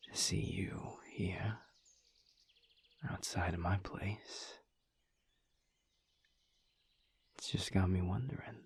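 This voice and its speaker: A man playing a teasing, guarded lover in an intimate audio roleplay, speaking in a husky, breathy murmur with hesitant pauses. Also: sits low, full character voice. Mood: wry, hurt.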